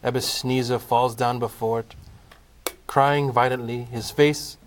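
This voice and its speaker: Mid-pitched man, dramatic story narrator. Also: fairly even intonation, a little slow, a little hiss or hum. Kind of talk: dramatic scripted narration of a comic Christmas play.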